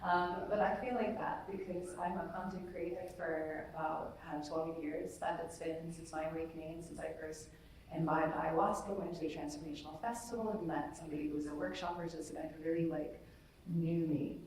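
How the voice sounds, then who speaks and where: Woman content creator and yoga teacher, chatty, self-deprecating, lowish voice, distant echoey room. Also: fairly even intonation, fairly quick, a little mellow, slight background noise. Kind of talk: casual panel discussion. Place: large echoey gallery, live event.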